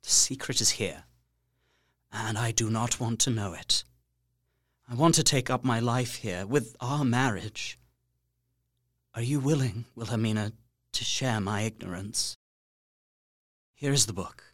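An actor playing an ailing, solemn Victorian husband-to-be in an audio drama, speaking gravely and haltingly, with intimate earnestness. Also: male voice, medium pitch, a bit bright, dry recording, studio quality. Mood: grave pleading.

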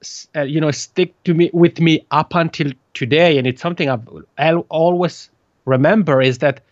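A man's voice: fairly high pitch, fairly even intonation, normal speed, no room sound. Swiss-Italian man in his thirties, accented guest, restarts phrases, dead dry muffled line. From Italian-speaking Switzerland. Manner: hesitant, repeats words while searching for phrasing. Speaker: podcast guest. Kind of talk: conversational interview.

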